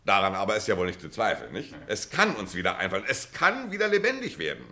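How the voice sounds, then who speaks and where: A man's voice: fairly low pitch, huge pitch swings, fast talker, pushed and bright, full character voice. An actor playing an earnest middle-aged man who argues intellectual points with insistence in an acted drama. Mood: insistent. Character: earnest, argumentative middle-aged former pupil. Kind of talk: acted radio-play dialogue.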